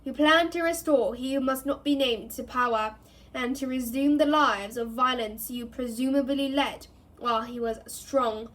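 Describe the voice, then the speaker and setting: A woman's voice: high-pitched, normal speed, fairly bright tone, low-quality recording. A woman voicing a stern, cold male judge who bellows in formal speech. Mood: stern bellowing.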